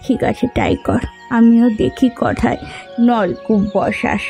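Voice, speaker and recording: Woman playing a creaky, teasing old village woman. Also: fairly high pitch, measured pace, mellow, soft background music. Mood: admonishing. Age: older. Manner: mocking, blunt, moralizing. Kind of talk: Bengali fairy-tale cartoon voice acting.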